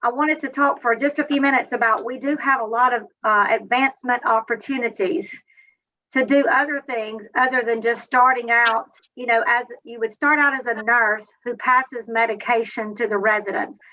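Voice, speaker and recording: A woman's voice: fairly high pitch, fairly even intonation, normal speed, pushed and bright. A woman explaining things informally as a panelist at a recruiting event, in an explanatory presentation style. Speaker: job fair recruiter and panelist. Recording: slightly distant, dull microphone sound.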